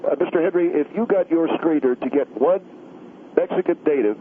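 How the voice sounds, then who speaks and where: A self-righteous, pompous pundit, put on by a man holds forth with oblivious smugness. His voice sits a little high. It comes through a tinny phone line with a faint hiss underneath. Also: a little fast, natural voice. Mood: condescending. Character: smug bigoted older male pundit, pompous and oblivious. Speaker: studio guest on a call-in radio show.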